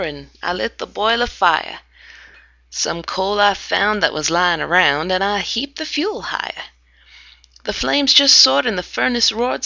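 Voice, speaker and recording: A woman reading a narrative poem aloud, dramatic, with hushed whispered passages. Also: lowish voice, gravelly, no room sound, highs cut off. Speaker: amateur reader.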